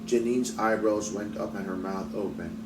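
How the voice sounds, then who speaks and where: A man with a low voice reads a story aloud expressively. He sounds set back from the microphone in an echoey space, with guitar music playing behind him. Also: moderate pace, recorded from a distance. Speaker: teacher reading aloud for a book club. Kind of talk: expressive audiobook-style read-aloud. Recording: roomy echo, off mic, music behind.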